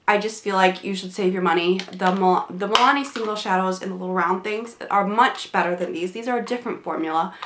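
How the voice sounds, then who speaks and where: A woman's voice: mid-range voice, fairly bright tone, roomy sound. A young woman reviewing makeup in a casual, rambling way, her voice a bit congested from a cold. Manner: chatty, rambling, many fillers and restarts. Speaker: beauty vlogger. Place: small home room, indoors.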